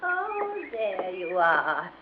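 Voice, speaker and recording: A woman's voice: fairly low pitch, huge pitch swings, very slow, exaggerated voice. An actress playing a sprightly, refined elderly widow in a 1950s radio drama, chatty and ladylike. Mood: breezy, weary. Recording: muffled old radio recording, faint hiss.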